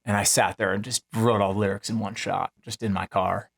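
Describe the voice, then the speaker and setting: A man who is a musician, casually and haltingly telling how a song was made, in a rambling conversational way. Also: on the low side, level tone, gravelly, a bit bright. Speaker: musician, singer-songwriter. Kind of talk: casual storytelling about making a song. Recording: music playing behind the voice.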